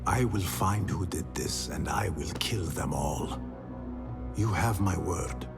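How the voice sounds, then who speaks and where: This is a determined assassin who speaks in few, firm words. His voice sits low, and clear music plays behind him. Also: takes it slowly. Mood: resolute. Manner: low, terse, resolute. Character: stern male assassin, determined. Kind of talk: video game cutscene acting.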